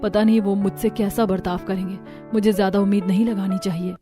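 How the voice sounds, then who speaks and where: A woman's voice: medium pitch, little pitch movement, a little fast, natural voice. A woman voicing a meek, mistreated young daughter in a cartoon story, plaintive and earnest. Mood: hurt. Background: music.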